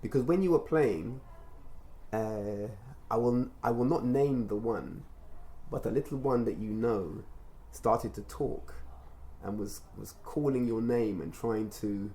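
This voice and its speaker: A man talking informally as a mentor, explaining things in a measured, reflective and encouraging way. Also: mid-range voice, warm tone. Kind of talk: informal testimonial conversation with a child.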